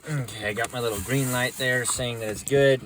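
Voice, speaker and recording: A man's voice: mid-range voice, a little fast, noisy background. Folksy man explaining radio setup. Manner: relaxed, folksy explaining with casual slang. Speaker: mechanic demonstrating CB radio setup. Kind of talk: casual how-to narration. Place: inside a truck cab.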